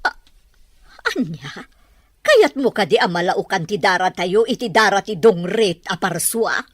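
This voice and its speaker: A Filipino woman voicing a haughty, scornful older rich mother in an audio drama, with a husky, disdainful delivery. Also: medium pitch, expressive, measured pace, dry recording. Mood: scornful, outraged.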